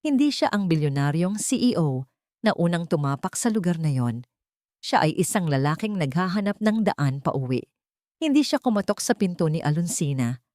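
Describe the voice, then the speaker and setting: A woman narrating a dramatic romance story in a steady, scripted storytelling manner. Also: on the low side, normal speed, no echo.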